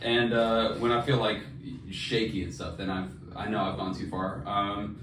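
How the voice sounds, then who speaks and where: A man's voice: on the low side, little pitch movement, moderate pace, a bit bright. A man co-hosting a casual podcast, chatting in an informal, jokey, self-deprecating way. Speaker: podcast co-host. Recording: roomy, distant mic, faint noise.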